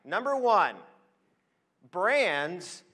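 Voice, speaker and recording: An American man in his forties speaks from a stage as a conference presenter. His voice is fairly high and very bright, with an emphatic, enthusiastic delivery that addresses listeners directly. Long hall reverb trails his words. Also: sing-song, very slow. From Switzerland, then Oregon. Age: 40s. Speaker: conference speaker, advertising professional. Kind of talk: motivational business presentation to an audience. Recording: long echo of a large hall.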